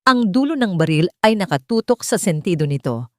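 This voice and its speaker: A woman's voice: fairly low pitch, sing-song, fairly quick, darkish tone, no echo. A woman reading a dramatic scripted audio story as its narrator.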